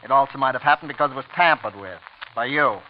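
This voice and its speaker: Actor as brash, needling young prosecutor, old radio. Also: male voice, mid-range voice, fast talker, natural voice. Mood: accusing, confident. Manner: blunt, smug, needling. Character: clever, brash, unscrupulous young male assistant district attorney. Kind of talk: 1940s radio drama acting. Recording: muffled, noisy vintage radio recording.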